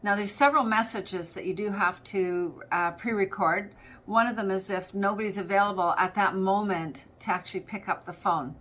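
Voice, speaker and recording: A woman's voice: medium pitch, moderate pace, fairly bright tone. Middle-aged woman giving an informal training talk. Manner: conversational, anecdotal, acts out example phone greetings. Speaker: practice management coach presenting a training episode.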